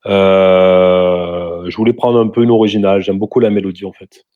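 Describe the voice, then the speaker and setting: Easygoing male radio guest, low voice, dull lo-fi recording. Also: flat delivery, moderate pace. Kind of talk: radio chat.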